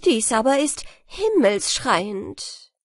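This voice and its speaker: Woman as an agitated, high-voiced common petitioner. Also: sing-song, slow, dry recording, clean studio sound, full character voice. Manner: earnest, agitated complaining. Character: outraged common man petitioning the king.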